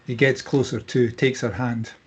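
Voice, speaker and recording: A man's voice: mid-range voice, flat delivery, moderate pace, lo-fi sound, a little hiss or hum. A man narrating the stage directions at a screenplay table read, in a scripted narration style.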